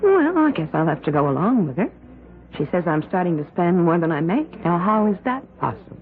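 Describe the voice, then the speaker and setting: An actress playing a breezy, trusting, affectionate wife in a radio drama, speaking in a chatty, emphatic way. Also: female voice, lowish voice, very animated, brisk, faint music behind. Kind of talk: 1970s radio drama acting. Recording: tinny, narrow-band old radio broadcast.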